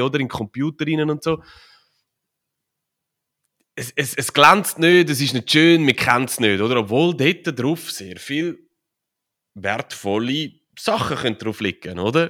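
A man's voice: medium pitch, fairly bright tone. A man with a Swiss German accent, a podcast guest explaining things in a rambling, casual way with many fillers and tag questions. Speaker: podcast guest, author and crypto consultant. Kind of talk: casual conversational interview.

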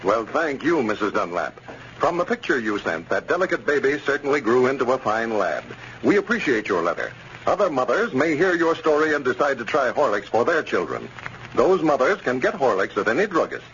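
A man's voice: medium pitch, big pitch swings, fairly quick, a bit bright. A man announcing and reading a scripted advertisement as a 1930s radio announcer. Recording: noisy muffled 1930s radio recording.

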